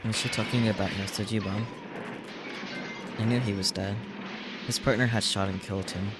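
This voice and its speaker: Guy streamer w low voice reading out visual novel narration, music really loud behind. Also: fairly even intonation, moderate pace. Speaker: streamer reading a game aloud. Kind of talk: live read-aloud of visual novel text.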